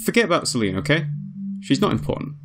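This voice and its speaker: A man's voice: on the high side, sing-song, fast, heavy background noise. A man voicing a guarded woman inventor in a visual novel, caring but evasive and terse. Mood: guarded, insistent.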